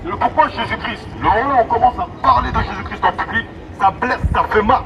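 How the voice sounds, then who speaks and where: High-pitched man preaching through a megaphone outdoors. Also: a little fast, vocal fry, crowd noise. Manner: exhorting, repetitive, emphatic phrasing. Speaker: street evangelist. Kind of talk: open-air megaphone sermon. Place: outdoors in an urban public street. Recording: dull, distant megaphone sound, loud street noise. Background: traffic and city ambience.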